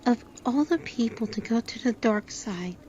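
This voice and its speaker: A woman's voice: fairly high pitch, animated, moderate pace, darkish tone, natural voice. A woman playing a chatty, friendly barmaid who runs a pub, in an audio drama. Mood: gossipy. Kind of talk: amateur audio drama acting. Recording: dull lo-fi sound, faint noise.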